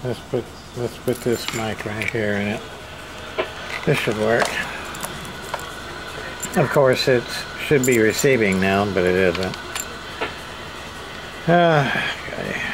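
Slow-talking man muttering over repair work. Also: lowish voice, noisy background. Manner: halting, muttering to himself as he works. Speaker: radio repairman and video host. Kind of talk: casual hands-on repair commentary. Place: indoor repair workbench.

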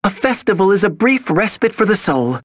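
Casting: an earnest young prince groom for a game, formal and modest, lively intonation, close dry recording. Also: female voice, medium pitch, moderate pace, breathy edge, dry recording. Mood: hopeful. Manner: courteous, formal. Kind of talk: video game character acting.

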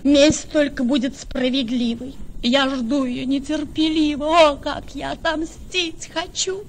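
Casting: proud young noblewoman for verse radio play, high voice, slow imperious declamation, scheming. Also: fairly even intonation, a little hiss or hum, rough recording. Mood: vengeful. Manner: declamatory, imperious, measured delivery. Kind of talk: theatrical radio drama in verse.

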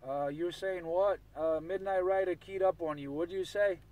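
High-pitched man chattering on noisy CB radio. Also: fairly even intonation, moderate pace. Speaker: CB radio operator. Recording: noisy CB radio, heard from afar.

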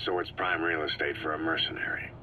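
A man playing a terse, stoic mercenary boss in a video game, gruff and laconic, mostly asking short questions. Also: rumbling low, level tone, fast talker, bright, forceful tone, slight background noise, full character voice, low-quality recording. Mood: dry, curious. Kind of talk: scripted video game dialogue.

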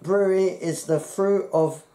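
A man's voice: high voice, fairly even intonation, measured pace, warm tone. A middle-aged man giving short, dry replies while tasting beer at home with a friend. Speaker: beer tasting show co-host. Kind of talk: casual chat. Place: home kitchen, indoors. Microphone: fixed camera across the table. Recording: echoey kitchen with faint noise.

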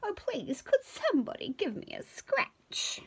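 Woman putting on a high, frantic bird with an itch. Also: huge pitch swings, creaky, full character voice. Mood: desperate, itchy. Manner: exclaiming, theatrical, drawn-out pleading. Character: excitable female bird, dramatically desperate with an itch.

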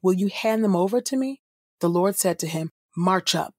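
Female reader for audio Bible: lowish, husky voice, measured delivery, dry close sound. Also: lively intonation, no room sound. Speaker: podcast host, scripture reader. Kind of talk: scripted audio Bible reading.

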